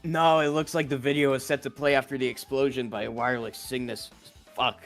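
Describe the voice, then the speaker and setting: A man's voice: mid-range voice, fairly even intonation, fairly quick, fairly bright tone. A man livestreaming a game, reading dialogue aloud and breaking off into casual, joking asides. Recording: music playing behind the voice.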